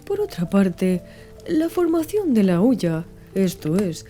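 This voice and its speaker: A woman narrating a literary audiobook in a measured, expressive storytelling manner. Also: medium pitch. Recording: music behind the voice. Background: background music.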